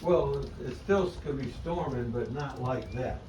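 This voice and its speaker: Everyday male voice, mid pitch, casual conversation, clear ambient noise around him. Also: measured pace, a little mellow. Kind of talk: casual chat. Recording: noisy home video, ambient noise.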